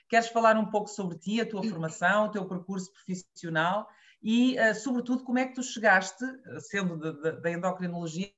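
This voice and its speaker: A woman's voice: medium pitch, a bit bright, muffled recording. A woman with a European Portuguese accent hosting an interview and introducing her guest with formal courtesy. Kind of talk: video call interview.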